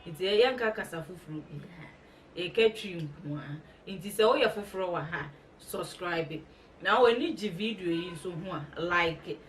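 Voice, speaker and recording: A woman's voice: on the low side, lively intonation, normal speed, far from the mic, a little hiss or hum. A young Ghanaian woman from the Ashanti region talking casually through a makeup tutorial, mixing in English words. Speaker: beauty vlogger. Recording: voice a bit off-mic, music underneath.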